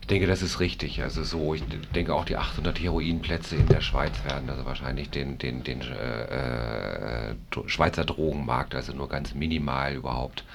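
A man speaking as a physician on a discussion panel, hesitant and measured as he makes his argument. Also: extremely low pitch, steady tone, gravelly. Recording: faint background noise.

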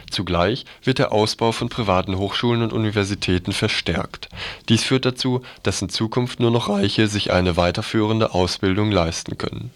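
A man presenting scripted radio news, reading the reports as written. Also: on the low side.

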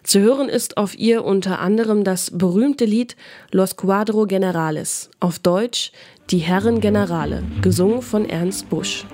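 A woman's voice: medium pitch, normal speed, mellow, faint music behind. A woman reading scripted narration for a radio documentary feature.

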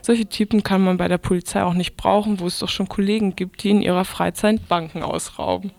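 A woman reading a scripted satirical radio news item in a deadpan, mock-formal manner. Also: medium pitch, a little fast, creaky.

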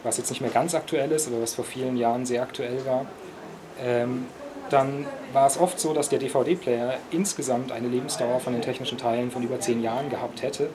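A man of middle pitch explains things patiently, pausing often with fillers. A busy crowd murmurs behind him. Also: fairly even intonation. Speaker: repair café volunteer. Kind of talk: interview answers.

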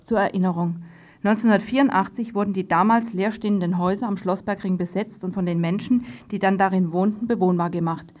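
A woman's voice: mid-range voice, fairly even intonation, quick pace, a little mellow, lo-fi sound. Woman presenting a radio news magazine. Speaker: radio host.

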